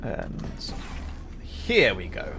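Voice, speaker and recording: A man's voice: extremely slow, over music. A man livestreaming a video game, giving casual commentary and explaining things in a hesitant, thoughtful way.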